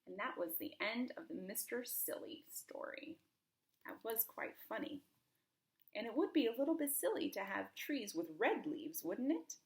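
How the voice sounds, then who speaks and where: A woman reads a children's story aloud in an animated, emphatic way, her pitch moving a lot around an average height. She addresses young listeners directly, and the room adds a clear echo. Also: moderate pace. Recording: roomy, echoing room.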